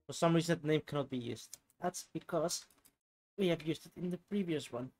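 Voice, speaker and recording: Casting: male let's player, slightly high voice, casual game commentary, prominent music underneath. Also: brisk, darkish tone.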